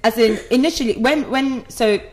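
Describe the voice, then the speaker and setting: A woman's voice: a little high, normal speed. A young married woman co-hosting a chat podcast, with a mostly neutral British accent touched by Nigerian, playful and chatty. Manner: playful, chatty, laughs between points. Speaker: podcast co-host. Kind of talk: casual chat.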